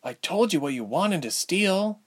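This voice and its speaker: A man putting on a cold and bitter villainous sorceress for a spoken storybook, her voice raised somewhat above his own. She speaks coolly and slowly, with lively swings in pitch. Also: breathy edge, full character voice. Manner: cool and indifferent, turning bitter.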